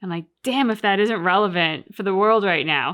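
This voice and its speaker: A woman's voice: medium pitch, moderate pace, fairly bright tone. A woman hosting a reaction channel, talking casually and reflectively as she discusses a game's story in an earnest way. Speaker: host of a game reaction channel.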